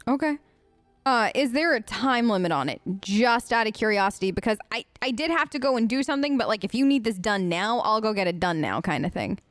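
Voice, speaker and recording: A woman's voice: a little high, expressive, fairly quick, no echo, faint music behind. A woman doing casual let's play role-play, answering game characters in an informal, hesitant, playful way.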